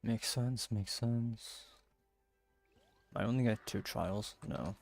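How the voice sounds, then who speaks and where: Male game streamer, low gravelly voice, casual, thinking aloud, questioning. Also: slow pace, soft background music. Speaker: gaming streamer. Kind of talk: casual game playthrough commentary.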